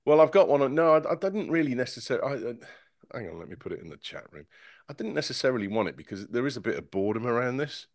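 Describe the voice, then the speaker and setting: A man on a casual tech podcast panel, talking in an animated, sarcastic way with many stumbling restarts. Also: medium pitch, fast talker, no room sound, studio quality.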